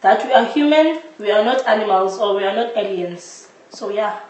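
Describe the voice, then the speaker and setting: A woman's voice: mid-range voice, moderate pace, a little mellow. A woman with a South African accent explaining her view as a guest in a live interview. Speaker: interviewee, audio drama maker. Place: echoey indoor space, live-streamed discussion. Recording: echoey room, distant mic, faint noise.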